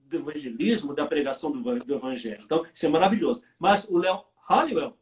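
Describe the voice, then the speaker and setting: A male pastor hosting a live online interview, enthusiastic and quick to chime in. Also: on the high side. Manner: enthusiastic and lively, interjects often. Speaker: pastor host. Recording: roomy sound, distant from mic.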